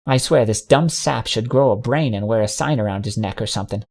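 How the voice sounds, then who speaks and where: A man's voice: fairly low pitch, fairly quick, vocal fry, darkish tone. A man putting on a brash folksy pony mare. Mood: blunt teasing. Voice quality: folksy, blunt, teasing drawl.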